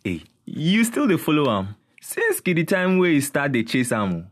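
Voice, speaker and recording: A man's voice: on the high side, huge pitch swings, normal speed. A man with a Ghanaian accent playing a breezy, boastful rich young friend in an audio drama, talking in a jokey, mocking way. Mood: amused, mocking.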